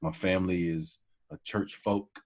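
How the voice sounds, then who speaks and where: A man in his forties with a very low voice. He talks in a casual, humble way and keeps calling people "man". He sounds like a guest on a relaxed podcast. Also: unhurried. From Texas. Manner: warm, humble, says "man" often. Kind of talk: casual conversation.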